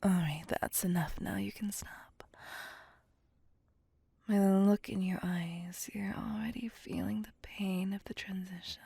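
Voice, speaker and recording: A woman playing a seductive, possessive vampire lover in an intimate ASMR roleplay, breathy and whispered, with teasing menace. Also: mid-range voice, steady tone, dark tone, no room sound. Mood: soothing, possessive. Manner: slow, teasing, theatrical purring menace.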